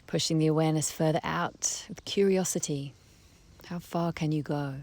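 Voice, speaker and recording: A woman leading a guided meditation in a soft, breathy voice, speaking gently with long unhurried pauses. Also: sits low, fairly even intonation. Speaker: guided relaxation teacher. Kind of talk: guided meditation, soothing slow instruction. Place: outdoors beside a harbour. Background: birdsong, trickling stream, breeze.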